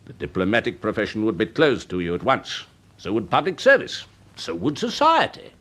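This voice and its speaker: A worldly older English uncle in a period radio drama. His low voice rises and falls dramatically, with a husky edge. He is pompous and urbane, pressing his case with rhetorical persuasion. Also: wildly swooping pitch, moderate pace, a bit bright, exaggerated voice. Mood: exasperated, scornful.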